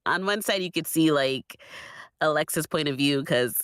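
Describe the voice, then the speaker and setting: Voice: medium pitch, normal speed, fairly bright tone, clear, resonant tone, dry recording, studio quality. A podcast guest giving opinions on a story in a conversational, opinionated way. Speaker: guest on a podcast listen-along. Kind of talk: casual discussion, giving opinions.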